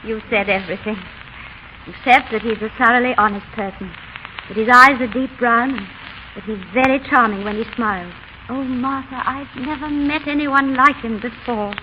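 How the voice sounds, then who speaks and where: Actress as a high-voiced, tender young Englishwoman in love. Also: moderate pace, a little hiss or hum, lightly acted. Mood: tender, pleading. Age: young adult. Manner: tender, earnest, gently teasing. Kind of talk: 1930s radio drama acting. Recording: muffled 1930s radio broadcast, faint music.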